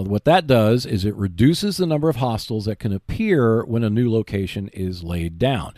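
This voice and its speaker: A man's voice: fairly low pitch, a little mellow, no room sound, clean studio sound. A man hosting a solo gaming podcast, explaining and giving tips in a conversational way. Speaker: podcast host.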